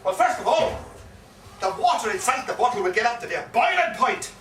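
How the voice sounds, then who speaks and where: An actor playing an excitable Irish pub yarn-spinner, theatrically repeating himself with relish in a live comic stage monologue. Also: male voice, extremely high pitch, a little fast, full character voice. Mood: insistent explaining. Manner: theatrical, repeats phrases with relish and dramatic emphasis. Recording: echoey live pub recording, distant mic, faint noise.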